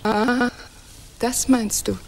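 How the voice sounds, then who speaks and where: An actress playing a clever, curious goddess in a radio play, with an arch, playful, mocking manner. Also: female voice, a little high, fairly even intonation, extremely slow, a little hiss or hum, poor audio. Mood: teasing. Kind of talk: theatrical German radio drama acting.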